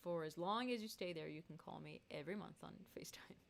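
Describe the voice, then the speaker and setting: A woman's voice: lowish voice, fast talker, gravelly. A woman singer hosting a casual live stream, reading chat aloud and laughing often.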